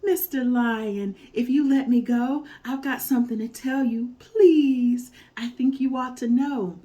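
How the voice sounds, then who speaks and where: A woman voicing a cheeky, boastful male monkey who taunts in a high, sassy voice. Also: unhurried, dark tone, natural voice. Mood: pleading.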